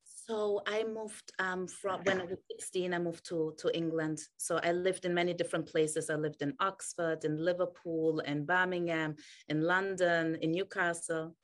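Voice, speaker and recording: Austrian-raised woman, lowish voice. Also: fairly even intonation, normal speed. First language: German. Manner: warm storytelling with frequent laughs. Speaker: podcast guest. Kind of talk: casual interview chat.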